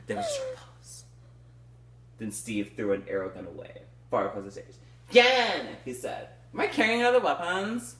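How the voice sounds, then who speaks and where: Brief: man reading a novel to friends, animated delivery, shouts character lines, echoey room. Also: on the high side, normal speed. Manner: voices characters with loud shouted lines, laughs often. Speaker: reader of a novel aloud. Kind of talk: comedic group read-through with crude asides.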